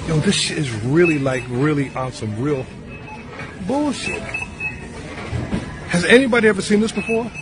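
A man's voice: fairly high pitch, animated, moderate pace, pauses often, over music. A man in an exasperated, profane rant recorded on a phone in a store, indignant and repeating himself. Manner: indignant, repetitive. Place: inside a large store. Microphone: phone at arm's length. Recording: loud noisy store background.

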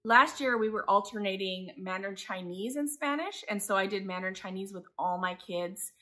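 Bright-voiced woman chatting casually. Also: medium pitch, normal speed. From Taiwan. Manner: rambling, conversational. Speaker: homeschooling mother, vlogger. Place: living room by a window, indoors.

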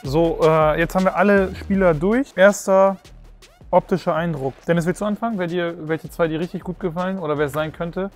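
High-voiced, animated young man. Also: a little fast, faint music behind. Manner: animated, joking. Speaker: host. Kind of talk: excited casual chat. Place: indoor football hall.

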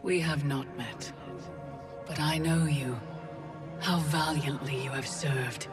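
A solemn goddess of time in a video game cutscene. She speaks very slowly and formally, with serene command and measured weight, while loud music plays under her. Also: deep voice. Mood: solemn, beckoning. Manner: slow, deliberate, formal, commanding. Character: serene, grandly solemn female time goddess offering bargains.

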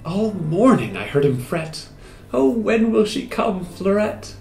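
A man in his thirties voicing a wistful, lovestruck wounded soldier in a theatrical, halting dramatic poetry recital with expressive pauses. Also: high voice, gravelly, a little hiss or hum, voice pushed up, full character voice. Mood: wistful, tender. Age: 30s. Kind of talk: dramatic poetry recital, first-person monologue. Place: small indoor room with hard walls. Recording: roomy echo, music behind.

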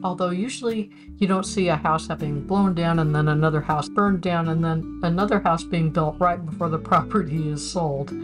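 A woman in her sixties, host of a family history channel, reading old documents aloud with chatty, wry asides in a home office. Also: fairly low pitch. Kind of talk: casual explanatory narration over documents.

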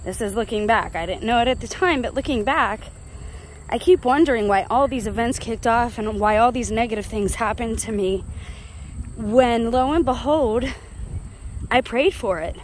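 American female vlogger, higher register, casual rambling monologue, handheld phone outdoors with background noise. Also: moderate pace, poor audio. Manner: chatty, digressive, confiding. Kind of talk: casual walk-and-talk monologue. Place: outdoors walking along a rural road. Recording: clear outdoor roadside noise.

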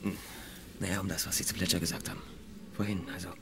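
Furtive slangy guy, low mumbled delivery in quick spurts, audio crime drama over background noise. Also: flat delivery, gravelly. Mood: furtive, hesitant. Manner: hushed, halting, colloquial mumbling. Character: scruffy, furtive young male passenger, slangy and hesitant. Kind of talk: modern German radio crime drama acting.

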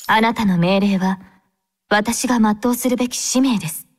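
A woman voicing a devout young female android squad captain in an anime game, speaking formally and with gentle composure. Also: medium pitch, moderate pace, natural voice. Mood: calm, courteous. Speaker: game character voice actor.

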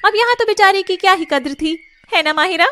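A woman's voice: extremely high pitch, fairly quick, bright, forceful tone, pitched above the performer's own voice. A woman voicing a catty, teasing young fairy in a cartoon, with mocking, gossipy delivery.

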